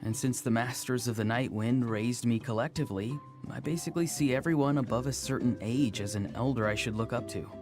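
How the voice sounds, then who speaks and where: A man's voice: medium pitch, fairly quick, hardly any pauses, a little mellow, natural voice. A man voicing a polite, naive young man in an anime game, earnest and slightly theatrical, with formal wording. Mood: wounded, earnest. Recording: music behind the voice.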